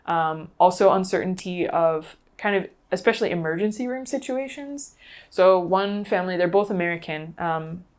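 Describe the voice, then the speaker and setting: A woman being interviewed, recounting cases conversationally in a thoughtful, halting way. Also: fairly low pitch, lively intonation, a little mellow. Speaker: interview guest.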